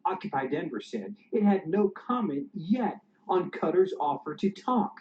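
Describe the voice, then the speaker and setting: A male news reporter delivering a measured television news report. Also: high-pitched, sing-song. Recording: dull TV clip audio.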